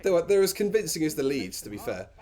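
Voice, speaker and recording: A man's voice: a little high, big pitch swings, fast, a little mellow. A man chatting casually as he co-hosts a film commentary, with a dry, mocking manner. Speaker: commentary co-host.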